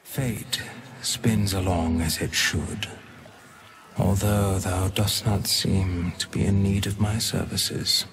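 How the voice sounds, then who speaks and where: An actor playing an ancient undead sage in a video game, solemn and cryptic, with archaic, formal phrasing. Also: male voice, low voice, fairly even intonation, slow pace, background noise. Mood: solemn, detached. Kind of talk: video game character dialogue.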